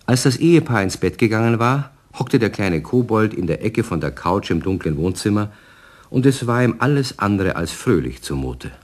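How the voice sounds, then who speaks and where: A man's voice: on the low side, sing-song, normal speed. A man narrating a German children's radio play in a scripted storytelling style.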